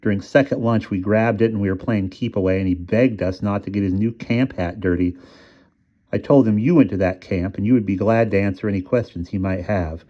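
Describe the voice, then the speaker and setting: A man's voice: lowish voice, brisk, lo-fi sound, natural voice. A man voicing an impatient teenage boy talking to his friend on the phone. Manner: blunt, impatient.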